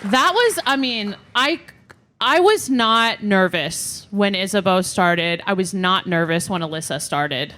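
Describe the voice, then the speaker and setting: Casting: woman, bright tone, casual podcast co-host telling stories live. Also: mid-range voice, animated, a little slow. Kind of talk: casual chat and anecdotes at a live podcast show. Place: live show before an audience.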